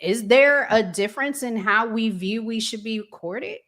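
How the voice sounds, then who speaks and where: A woman hosting a casual interview chat, putting questions to her guest with deliberate, inquiring phrasing. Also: a little high, a bit bright. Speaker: show host.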